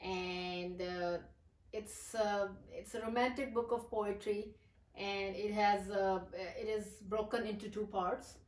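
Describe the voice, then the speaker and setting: A middle-aged woman from Pakistan, her Urdu, who talks as an interview guest with many pauses and fillers. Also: medium pitch, steady tone, roomy sound, far from the mic, slight background noise. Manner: hesitant, many fillers and restarts. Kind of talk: casual interview.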